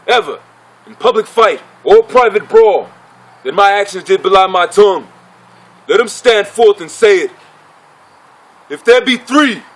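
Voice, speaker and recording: A man performing a theatrical monologue as a proud, bitter gladiator chief, in formal declamatory speech with deliberate pauses. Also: sits high, rough recording. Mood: proud, defiant.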